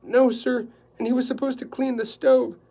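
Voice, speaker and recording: A man reading aloud and voicing a nervous, tearful woman housekeeper who talks in a high, fretful whimper. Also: little pitch movement, moderate pace, mellow. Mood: anxious, fretful.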